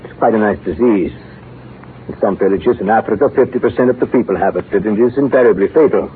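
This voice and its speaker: An actor playing an exacting older male science professor in 1930s radio drama, speaking in deliberate, didactic pronouncements on an old noisy recording. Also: lowish voice, narrow, tinny audio, lightly acted. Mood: approving, instructive. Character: exacting older male bacteriology professor, blunt, devoted to research.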